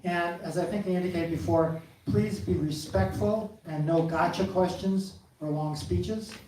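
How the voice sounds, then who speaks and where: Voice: medium pitch, unhurried, a little mellow. A moderator hosting a live forum, giving instructions hesitantly with fillers and self-corrections. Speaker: event moderator. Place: large hall at a live forum. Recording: echoey hall, far from mic, audible room noise.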